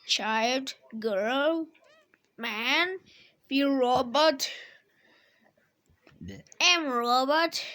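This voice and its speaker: A woman vlogger giving a casual toy demo in short, simple phrases of halting English. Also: sits high, bright, forceful tone.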